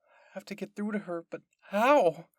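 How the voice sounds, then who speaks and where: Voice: mid-range voice, animated, normal speed, no echo, pitched above the performer's own voice, close to an everyday voice. A performer acts out a young woman soldier in an anime audio drama. She is desperate and weighed down by guilt. Her pleading is urgent and tearful, recorded dry and close. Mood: guilty, panicked.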